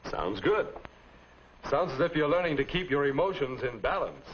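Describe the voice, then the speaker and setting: A middle-aged man playing a calm, fatherly high school principal in a 1950s instructional film, measured and instructive. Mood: approving. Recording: muffled, noisy 1950s film soundtrack.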